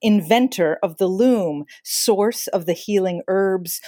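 A woman's voice: medium pitch, slow, dark tone, no echo, clean studio sound. A woman co-hosting a podcast, moving between recitation and conversation. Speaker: podcast co-host.

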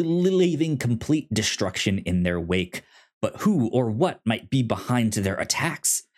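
A man chatting casually as a podcast co-host, often stammering and repeating sounds and the starts of words. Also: fairly low pitch. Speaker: podcast co-host pitching films and comics.

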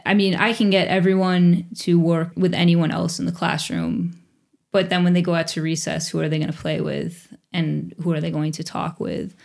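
Creaky-voiced woman teacher, reflective. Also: lowish voice, level tone, moderate pace, a little mellow. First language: English. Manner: reflective, with small restarts. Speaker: teacher at a bilingual school. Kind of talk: interview answers.

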